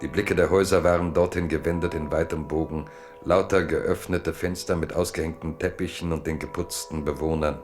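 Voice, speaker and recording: A man's voice: a bass voice, fairly even intonation, fairly quick, a little mellow, faint music behind. A man reading literary narration for a radio play.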